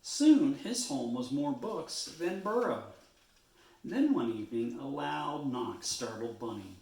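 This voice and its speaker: A man reading a children's storybook aloud in an expressive way, stretching words for emphasis. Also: medium pitch, roomy sound, poor audio. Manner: expressive, drawn-out phrasing with emphasis.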